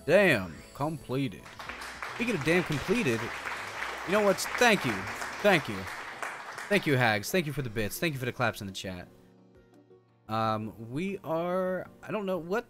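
A man streams a video game with lively, swinging intonation. He talks casually and thinks aloud in chatty slang. Music plays behind his voice. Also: on the high side, measured pace, long pauses, crowd noise. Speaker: streamer, show host.

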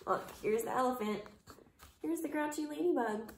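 A young woman reading a picture book aloud to young children, expressive and dramatic, pausing to explain and ask questions. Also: sits high, background noise. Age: young adult. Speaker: kindergarten teacher reading aloud. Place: living room at home. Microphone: camera or phone a short distance away. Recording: roomy indoor echo.

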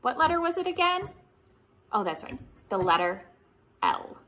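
Very animated American woman, bright high voice. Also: normal speed. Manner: animated, encouraging, exaggerated child-directed delivery with questions. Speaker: children's librarian leading online storytime. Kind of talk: preschool lesson and picture-book read-aloud.

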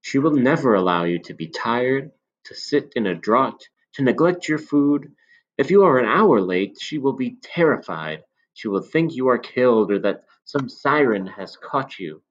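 Guy putting on a sly old potion dealer, higher and louder than his own voice, swoops around a lot, dull old recording. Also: animated. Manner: smooth, insinuating, drawn-out persuasion. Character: sly elderly male potion seller.

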